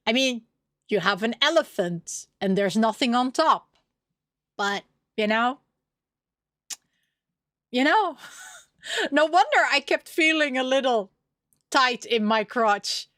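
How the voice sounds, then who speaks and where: Animated high-voiced woman reading aloud. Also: slow pace, pauses often, no echo, clean studio sound. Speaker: streamer reading a visual novel aloud. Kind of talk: expressive read-aloud with casual asides.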